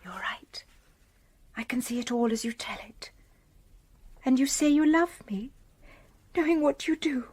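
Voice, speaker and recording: An actress playing an anguished, passionate young woman in love in a radio drama, breathy and emotional. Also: high voice, animated, a little slow, long pauses, a little mellow, full character voice. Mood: broken, tender.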